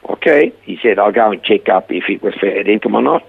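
Older Swiss man, rough, low voice, a guest acting out his own stories on radio. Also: brisk, narrow, tinny audio. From Switzerland. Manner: animated storyteller acting out quoted dialogue. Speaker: guest telling his life story. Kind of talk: casual storytelling interview.

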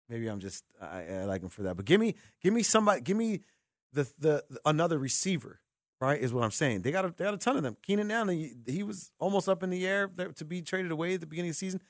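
An American man hosting sports talk radio, talking with callers in an informal, animated, conversational way. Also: a little high, fast, no room sound, clean studio sound. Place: radio studio. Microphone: close broadcast microphone.

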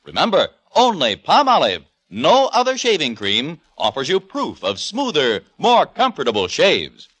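A man delivering an assured, emphatic 1950s radio advertising pitch. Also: wildly swooping pitch, measured pace, fairly bright tone. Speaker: radio commercial announcer.

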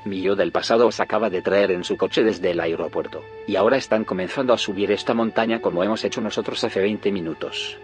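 An actor playing a calm, secretive male scientist in an audio drama, speaking in a measured, cryptic, explanatory way. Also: fairly low pitch, faint music behind, natural voice. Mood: calm, knowing.

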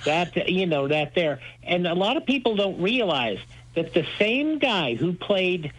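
A man's voice: sits high, sing-song, unhurried, a bit bright, poor audio. A man talking enthusiastically as a radio host being interviewed, with an emphatic, folksy storytelling manner. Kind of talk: enthusiastic interview talk about old-time radio.

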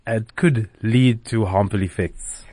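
A man's voice: lowish voice, takes it slowly, mellow, no room sound, clean studio sound. Man hosting a radio show. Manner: hesitant, many uh fillers. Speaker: radio host. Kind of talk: radio interview. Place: radio studio.

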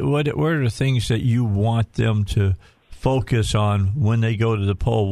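A man's voice: mid-range voice, darkish tone. An older man hosting a radio talk show from his studio, joking and chatting in a folksy way with his guest. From Arkansas. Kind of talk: casual radio interview.

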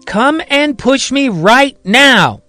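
A grown man who talks like a small child, as a male storyteller puts him on, whining in a squeaky, stretched-out voice. He drags out every demand like a sulky little girl. The voice swoops high and wide. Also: sing-song, takes it slowly, bright, forceful tone, no room sound, faint music behind, exaggerated voice. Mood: whiny demanding.

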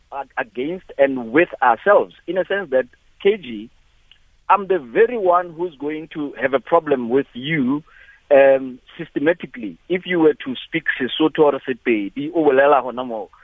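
A man's voice: on the high side, no echo. Impassioned South African man on phone. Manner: impassioned, repeats words, many restarts. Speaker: caller. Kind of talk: call-in radio comment. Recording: tinny phone line.

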